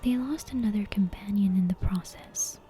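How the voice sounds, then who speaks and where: A woman reading a bedtime story as ASMR in a soft, breathy, unvoiced whisper, with hushed and deliberate narration. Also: medium pitch. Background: soft game soundtrack music.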